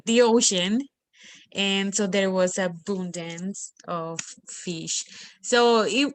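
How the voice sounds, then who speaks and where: Slow-talking Salvadoran woman student. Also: medium pitch, a bit bright, no room sound. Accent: Salvadoran Spanish. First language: Spanish. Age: young adult. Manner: unhurried, friendly, with small laughs. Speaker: student facilitating a class discussion. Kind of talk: video call conversation. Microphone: video call.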